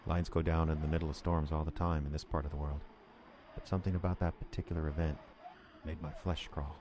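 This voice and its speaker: A man's voice: a bass voice, little pitch movement, fast, darkish tone. An actor playing an uneasy working man who narrates his own snowbound horror story in a dramatic, brooding way. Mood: brooding dread. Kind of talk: first-person radio horror narration. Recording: noisy storm ambience under the voice.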